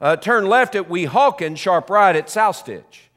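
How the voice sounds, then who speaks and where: A man's voice: high-pitched, lively intonation, measured pace. A man voicing a greedy, defiant male factory owner in a children's story read aloud. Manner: brash, defiant, shouting.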